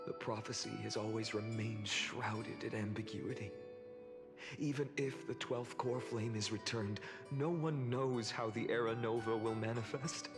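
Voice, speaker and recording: A man playing a calm, solemn, self-sacrificing young warrior leader in a video game, speaking in a measured, soft way. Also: mid-range voice, big-room sound, natural voice. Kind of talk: scripted dramatic video game acting.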